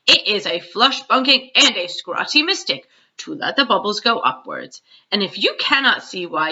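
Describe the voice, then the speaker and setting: A woman voicing a big, kindly, excitable old male giant from a storybook, with mangled grammar and nonsense words. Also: high-pitched, dull audio, voice pushed up, exaggerated voice. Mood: indignant.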